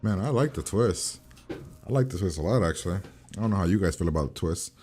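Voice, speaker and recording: A man's voice: sits low, animated, brisk, a little mellow, husky. A man hosting a reaction video, giving a casual, informal review and rambling as he repeats and revises his points.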